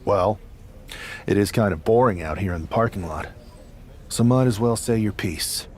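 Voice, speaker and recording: A man's voice: on the low side, moderate pace, a little mellow, slight background noise, close to an everyday voice. A man playing a confrontational, scornful, hot-tempered wrestler in a video game. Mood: grudging, firm. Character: defiant, hot-tempered loyalist male wrestler. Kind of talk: video game cutscene dialogue.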